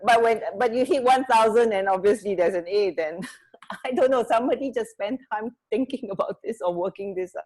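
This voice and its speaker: A woman co-hosting a radio show in casual chat, halting and correcting herself as she goes. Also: fairly high pitch, animated, darkish tone. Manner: hesitant, halting, self-correcting. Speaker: radio co-host.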